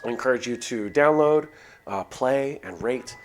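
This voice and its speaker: A man hosting a game livestream, talking casually to viewers in a halting way, thinking aloud as he goes. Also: mid-range voice. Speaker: game streamer, host. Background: game music.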